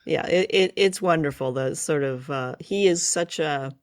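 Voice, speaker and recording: A woman's voice: deep voice, normal speed, no room sound, clean studio sound. A woman hosting a radio show and podcast in a conversational style.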